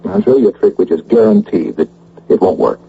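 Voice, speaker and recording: An actor playing a gruff, protective male investigator in a radio drama. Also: low-pitched, big pitch swings, a little fast, exaggerated voice. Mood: businesslike. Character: gruff, protective investigator, a grown man directing a sting. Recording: dull lo-fi old radio recording.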